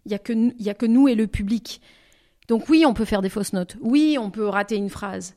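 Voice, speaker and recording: A French-speaking woman talks about her singing in an interview. Her voice sits at a middling pitch. She speaks reflectively, pausing often and rephrasing as she looks for the right words. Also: warm tone. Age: over twenty. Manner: thoughtful, often restarts and rephrases. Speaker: singer, interview guest.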